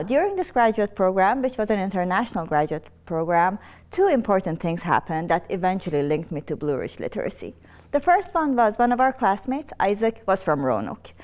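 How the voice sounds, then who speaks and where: Woman, slightly low voice, interview guest. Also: a little mellow. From Iran. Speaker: interview guest, nonprofit executive director. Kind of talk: conversational interview answers, personal storytelling.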